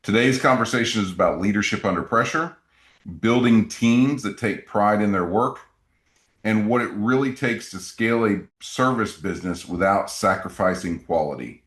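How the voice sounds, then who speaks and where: Radio host guy, low voice, upbeat plugs, roomy echo. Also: normal speed, a bit bright. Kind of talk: interview hosting.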